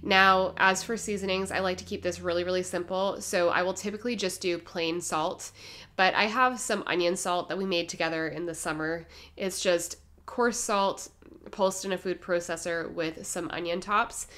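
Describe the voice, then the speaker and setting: A young woman giving a gentle, measured voice-over as she explains her home cooking step by step. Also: fairly low pitch, steady tone. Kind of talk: calm instructional voice-over.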